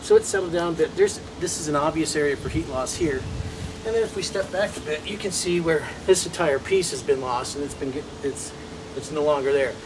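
A man's voice: a little high, brisk. A man in his thirties giving a home improvement walkthrough outside a house and in a basement, explaining step by step and correcting his own slips. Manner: explanatory, trips over words then corrects. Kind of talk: instructional walkthrough. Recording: roomy, distant, faint background hiss.

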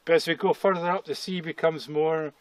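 A man's voice: on the high side, steady tone, brisk, no room sound. A man in his fifties giving an informal, explanatory talk as a geology guide in the field, repeating phrases for emphasis. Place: outdoors at a quarry rock face. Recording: clearly noisy outdoor recording with clicks.

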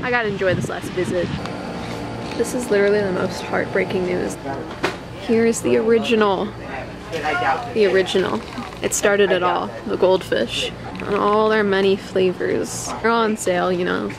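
A teenage girl vlogging with a handheld camera, talking in a deadpan, mock-tragic, rambling way with many "you know"s. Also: mid-range voice, gravelly, low-quality recording. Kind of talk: mock-dramatic vlog. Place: car interior, then a store. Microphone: handheld camera at arm's length. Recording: loud background noise from car and store.

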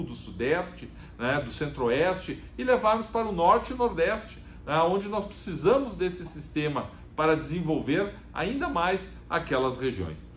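A man in his fifties, a government official, giving a prepared, formal talk to camera from his office desk, filling pauses with short "eh" sounds. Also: a little high. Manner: measured, formal, frequent "né" and "eh" fillers. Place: office, indoors, seated at a desk. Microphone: fixed camera at desk distance. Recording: echoey office room, faint hiss.

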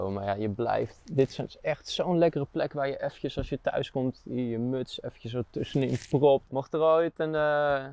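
A young Dutch man giving a relaxed, chatty tour of the camper van he lives in, with many hesitations. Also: on the high side, clear, resonant tone, no room sound, muffled recording. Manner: relaxed, hesitant with many fillers, laughs often. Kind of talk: casual tour chat. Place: inside a small camper van, outdoors by it.

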